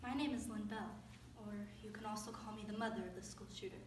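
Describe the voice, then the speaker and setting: A woman's voice: mid-range voice, fairly even intonation, fast talker, close to an everyday voice. A woman playing a grieving, burdened mother in a dramatic readers theater monologue. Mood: somber. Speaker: student readers theater performer. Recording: echoey room from far off, faint noise.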